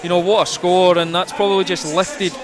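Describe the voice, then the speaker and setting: A man's voice: high voice, level tone, fairly quick, music in the background. A man giving conversational live rugby analysis as co-commentator, piecing his thoughts together as he goes. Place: rugby stadium. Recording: crowd noise behind live outdoor commentary. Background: stadium crowd.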